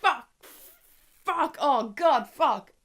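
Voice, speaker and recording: A woman's voice: sits high, expressive, extremely slow, fairly bright tone. A woman giving a casual, chatty film review, speaking conversationally with emphatic asides and rhetorical questions. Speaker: movie review vlogger.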